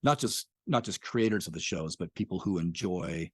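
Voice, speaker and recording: A man's voice: low voice, lively intonation, moderate pace, husky, dry recording, studio quality. A middle-aged man, a podcast creator, talking casually as a guest, reflective and full of fillers. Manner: reflective, rambling with many fillers. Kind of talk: casual interview conversation.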